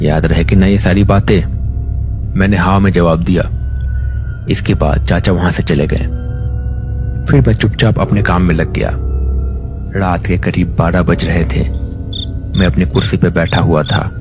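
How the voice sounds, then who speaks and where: A man with a very deep, low voice tells a first-person horror story for a cartoon. His delivery is steady and builds suspense. Loud background music plays under his close voice-over. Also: normal speed, long pauses.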